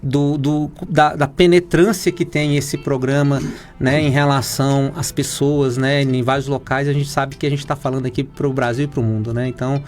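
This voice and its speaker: A man, an oncologist from Minas Gerais with a Brazilian accent, explains things in a halting way, full of fillers and restarts. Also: mid-range voice, level tone. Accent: Brazilian Portuguese, Minas Gerais. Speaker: oncologist guest. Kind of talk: explanatory interview talk.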